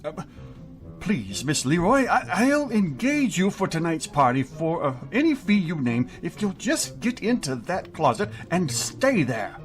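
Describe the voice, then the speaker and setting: A grouchy, middle-aged school principal acted by a man blusters his way through a 1950s radio comedy. He is exasperated and flustered, with big swings in pitch and a measured pace, over music. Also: sing-song. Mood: flustered, urgent.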